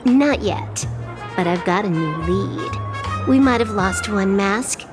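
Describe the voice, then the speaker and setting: A woman's voice: mid-range voice, lively intonation, slow pace, music in the background. A woman voicing a cunning young trickster assassin in a video game, playful, teasing and unruffled. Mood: sly, teasing. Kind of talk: dramatic video game voice acting.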